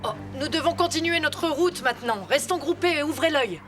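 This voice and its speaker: A woman's voice: high-pitched, animated, a little fast. A woman playing a young boy lion cub in a French cartoon dub, earnest and careful as the group's leader. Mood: urging, leading. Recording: music under the voice.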